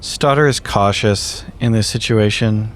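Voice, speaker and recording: A man's voice: lowish voice, a little slow, a little hiss or hum. A man playing a tabletop roleplaying game, describing the character he plays.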